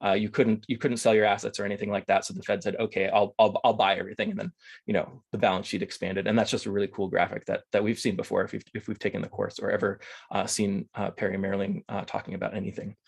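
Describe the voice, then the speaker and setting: Fast-talking man with a gravelly voice. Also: fairly low pitch, level tone. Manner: rapid, with many fillers and restarts. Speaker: discussion group host. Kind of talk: casual online seminar discussion.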